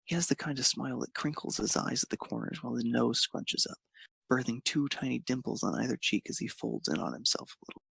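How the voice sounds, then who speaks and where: A man with a creaky, husky voice narrating a romantic story expressively. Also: medium pitch, brisk, fairly bright tone, dry recording.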